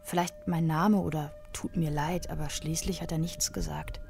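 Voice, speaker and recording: Wistful female witness, fairly low voice, reflective radio-play narration, clear music backdrop. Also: fairly even intonation, normal speed, darkish tone. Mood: wistful, regretful. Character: wistful woman witness remembering a man's arrest.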